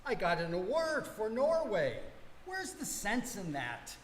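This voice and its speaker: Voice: medium pitch, wildly swooping pitch, a little slow. A performer playing a weary, old-fashioned elderly male planet designer, rambling and resigned, in a live radio play. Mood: wistful, grumbling. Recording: echoey hall live stream, noisy.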